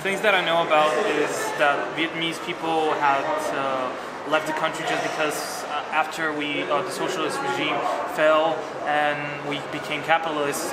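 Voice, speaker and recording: A man answering interview questions hesitantly, with many fillers. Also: a little high. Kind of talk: casual interview. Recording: echoey mall with loud noise.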